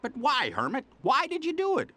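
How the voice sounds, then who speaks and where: A man's voice: extremely high pitch, huge pitch swings, moderate pace, sharp tone, full character voice. A man voicing an exasperated, sarcastic superhero sidekick in a cartoon video game, griping sardonically. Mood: incredulous.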